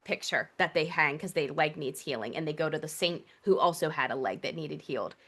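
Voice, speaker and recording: A woman's voice: sits low, fairly quick, a bit bright. A Greek-American woman guest on a podcast, explaining earnestly in conversation and sometimes mimicking others' voices. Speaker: guest, priestess teacher. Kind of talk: conversational interview.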